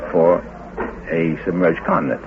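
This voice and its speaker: A man speaking as a scientist being interviewed, phrasing his answers haltingly and deliberately in short bursts. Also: low voice, fairly even intonation. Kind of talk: unscripted expert interview. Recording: phone-like old recording, chatter behind.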